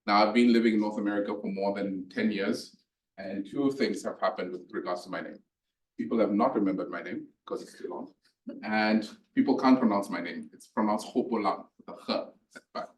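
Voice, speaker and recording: South African lecturer, lowish voice, easygoing storyteller, roomy hall heard from afar. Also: male voice, a little fast. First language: Northern Sotho. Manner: relaxed storytelling with frequent fillers. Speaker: guest lecturer. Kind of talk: public physics lecture. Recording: echoey lecture hall from a distance.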